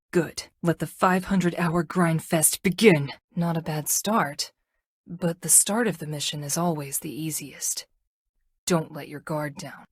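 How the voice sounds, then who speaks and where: Low, husky, brooding tough game heroine. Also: female voice, dry recording, exaggerated voice. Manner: dry, deadpan, halting when flirting. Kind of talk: video game character dialogue.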